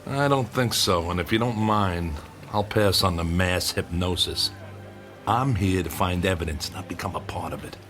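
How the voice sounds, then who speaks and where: A low-voiced, rattled skeptical writer. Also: male voice, moderate pace, fairly bright tone, faint music behind. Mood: dry, skeptical. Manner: sarcastic, argumentative. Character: skeptical, rattled male author writing about UFOs. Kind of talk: audio drama acting.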